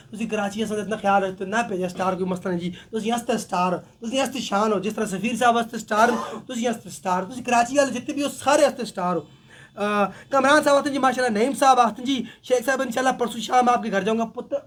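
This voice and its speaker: A man hosts a live stream, his voice hoarse from a sore throat. He talks very fast, greeting viewers by name and joking along. His voice sits very high for a man. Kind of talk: casual live chat reading viewer comments.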